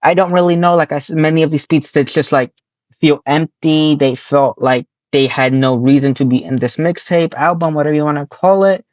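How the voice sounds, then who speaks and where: Hoarse man reviewing albums. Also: fairly high pitch, steady tone, a little mellow, no room sound. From Nevada, US. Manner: reads quick notes, corrects himself, chuckles at slips. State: hoarse, worn voice. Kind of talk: casual scripted review.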